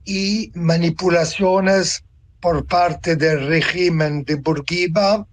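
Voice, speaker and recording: An elderly man in his seventies, from Tunisia, reflects on his life as a guest. His voice sits a little high, and he speaks slowly, pausing to choose his words. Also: fairly even intonation. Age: 70s. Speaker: interview guest, author and translator. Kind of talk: reflective personal account in an interview.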